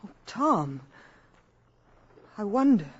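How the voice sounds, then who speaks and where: An actress playing a caring, sensible mother with gentle doubt, in the style of 1950s radio drama. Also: mid-range voice, very animated, slow, husky, exaggerated voice. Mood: concerned. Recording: muffled 1950s radio broadcast recording.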